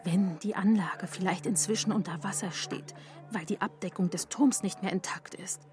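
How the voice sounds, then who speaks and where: An actress as an earnest female researcher in a radio play. She explains things plainly at an average pitch, over faint background music. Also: steady tone, normal speed, a little mellow, natural voice. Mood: matter-of-fact. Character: female ruin researcher, earnest and matter-of-fact. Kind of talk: German radio play acting.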